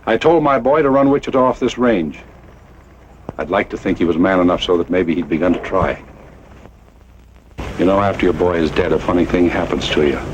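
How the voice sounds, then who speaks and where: An American actor playing a grave, resolute father turned lawman in an old western drama, speaking deliberately. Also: low voice, muffled recording, lightly acted. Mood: mournful, reflective. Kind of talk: mid-century western drama acting. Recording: noisy old western soundtrack.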